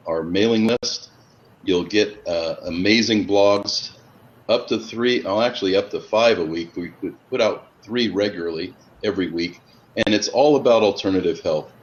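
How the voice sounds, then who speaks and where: A man in his fifties hosting a casual interview over a video call, talking in an informal, rambling way. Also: sits low. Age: 50s. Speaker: host. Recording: dull lo-fi audio with background noise.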